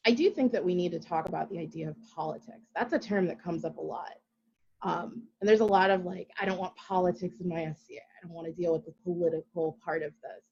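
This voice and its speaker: A woman's voice: mid-range voice, darkish tone, breathy edge, muffled recording. A woman speaking as a round table panelist, making her point emphatically and persuasively. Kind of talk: panel discussion.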